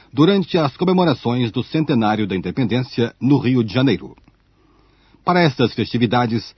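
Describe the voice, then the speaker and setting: A man's voice: mid-range voice, slightly rough, no room sound. A man giving formal, rhetorical scripted narration for a radio documentary. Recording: dull archival shortwave-era radio recording.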